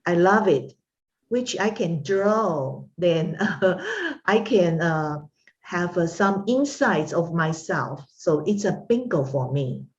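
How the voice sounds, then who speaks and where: A middle-aged woman from Taiwan, a native Mandarin speaker, talks slowly and haltingly, with lots of fillers. Her voice sits a little low and comes through a muffled, lo-fi video call line. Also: expressive. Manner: hesitant, many pauses and fillers. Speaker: interview guest, art instructor. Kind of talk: casual interview conversation.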